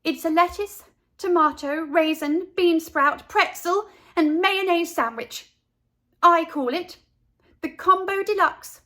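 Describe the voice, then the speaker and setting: A proud little schoolgirl from a storybook, acted out by a woman. Her voice is high and she speaks with slow, insistent precision, sure of her own creative ideas. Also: bright, forceful tone, full character voice. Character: proud, creative little schoolgirl who loves being different.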